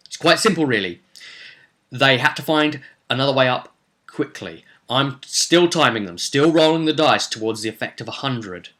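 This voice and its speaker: A man's voice: mid-range voice, bright, forceful tone. A man recapping a tabletop roleplay session as game master, telling it theatrically with casual asides.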